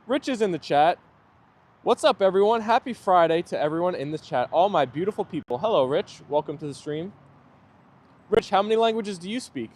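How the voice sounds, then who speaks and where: Man, friendly stream host, slightly high voice, loose chatty delivery outside. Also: darkish tone. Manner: friendly, chatty, thanks viewers often. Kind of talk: casual live chat reading viewer comments.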